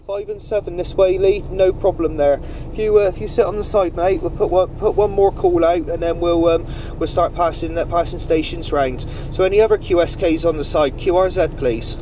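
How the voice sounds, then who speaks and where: A man's voice: high-pitched, steady tone, fairly quick, hardly any pauses. A man running a CB radio net, making procedural call-outs and chatting courteously with other operators. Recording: noisy vehicle CB radio setup, distant mic.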